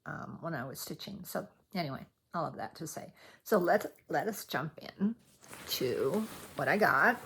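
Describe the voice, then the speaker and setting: A middle-aged woman from Colorado speaks with a Midwestern American accent in a fairly low register. Her voice is husky, with plenty of creak. She chats informally like a hobby vlogger, wandering through her thoughts and doubling back as she goes. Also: a bit bright. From Colorado, United States. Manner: rambling, often backtracks mid-thought. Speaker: needlework vlogger. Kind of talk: casual chatty vlog recap.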